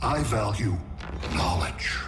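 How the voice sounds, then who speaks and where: Arrogant alien brain villain, game cutscene, super low and echoey, cold formal talk. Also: male voice, very animated. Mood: cold menace. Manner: imperious, deliberate, coldly formal. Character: cold, arrogant alien machine-minded villain who collects knowledge. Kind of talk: video game cutscene acting. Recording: echoey, music underneath.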